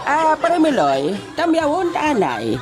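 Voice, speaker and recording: Host with a Western Visayan accent, mid-range pitch, very lively intonation, joking casual banter, music playing behind. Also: a little slow. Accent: Western Visayan Philippine. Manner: jocular, chuckling, bantering. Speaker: talk show host. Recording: loud music bed behind the voice.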